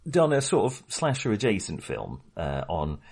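Male film podcast co-host. Low, husky voice, halting conversational delivery. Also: wildly swooping pitch, a little mellow. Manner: hesitant, halting, self-correcting. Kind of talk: casual film discussion.

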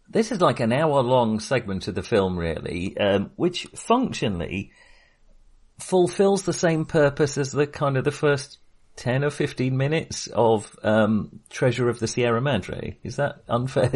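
A man co-hosting a podcast, casually discussing films in halting, hesitant phrases. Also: mid-range voice, expressive. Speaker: podcast co-host.

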